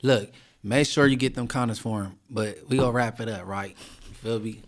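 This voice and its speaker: A man co-hosting a podcast in casual chat, teasing his co-host and turning loud and insistent when he pushes a point. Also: fairly low pitch, moderate pace.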